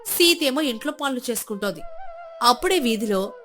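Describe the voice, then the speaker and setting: A woman's voice: a little high, a little fast, soft background music. Woman narrating a moral story. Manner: steady, clear, expressive telling.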